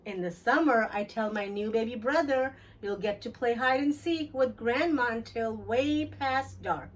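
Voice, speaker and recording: A woman with a fairly high voice narrates a children's picture book. She takes her time, very slowly and expressively shaping phrases for young listeners, and sounds slightly away from the microphone. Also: distant mic. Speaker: children's book read-aloud host. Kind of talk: scripted storybook narration for children.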